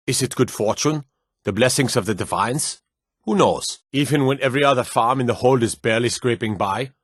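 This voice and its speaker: A man playing a dignified, courtly lord with a formal, measured way of talking in a fantasy video game. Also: fairly low pitch, no echo, clean studio sound, close to an everyday voice.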